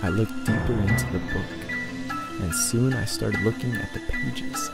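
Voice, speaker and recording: A man's voice: lowish voice, normal speed, vocal fry. A man voicing a tormented, blinded unicorn pony who recounts his past in an intense, dramatic first-person story reading. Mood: awed, determined. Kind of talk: dramatic reading of fan fiction. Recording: loud music under the voice. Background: prominent background music.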